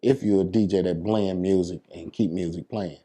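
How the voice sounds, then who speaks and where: A man with a low voice and an American accent chats casually in an interview. He explains his craft as a working DJ and often checks that the listener follows. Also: fairly even intonation, moderate pace, dark tone. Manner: explanatory, repeats "you know what I'm saying". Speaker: DJ and entertainer being interviewed.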